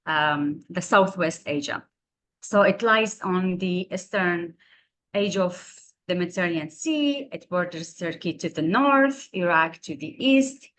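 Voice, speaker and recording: Woman, 30s, from Syria, native Syriac, lower voice, video call, earnest explanatory talk. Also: a bit bright. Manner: earnest, explanatory, searching for words. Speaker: guest speaker. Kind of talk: online presentation to students.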